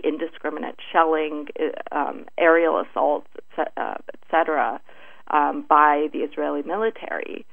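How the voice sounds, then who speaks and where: A middle-aged woman giving earnest interview answers in a halting, deliberate way, searching for her words, over a telephone. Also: sits low, creaky, breathy edge. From born in Beirut, Lebanon. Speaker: interview guest, writer. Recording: narrow, tinny phone line.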